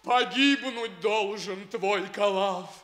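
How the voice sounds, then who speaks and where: Noble lovesick young prince, fatalistic, highish voice, slow lofty stagey radio play delivery. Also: male voice, fairly bright tone. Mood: despairing. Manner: lofty, theatrical, lyrical phrasing. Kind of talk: declamatory classic stage acting in a radio play.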